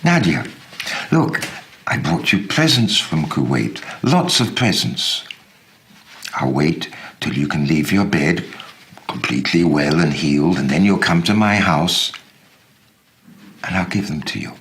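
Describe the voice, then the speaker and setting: A man's voice: very deep voice, lively intonation, unhurried, pauses often, a bit bright, heavily acted. A man voicing an anxious, tender uncle coaxing his young niece in a literary reading, urgent and repeating himself.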